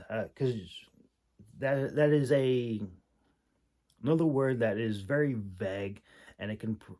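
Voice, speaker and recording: A man giving a casual, unscripted critique as a host, halting and thinking aloud with long pauses. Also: medium pitch, darkish tone. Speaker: host critiquing a writer's manuscript.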